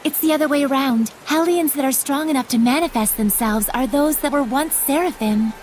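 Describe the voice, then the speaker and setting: High-voiced, composed female spirit mentor by an actress. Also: a little fast, darkish tone, natural voice. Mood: grave, instructive. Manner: composed, explanatory, formal. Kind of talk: English game voice acting.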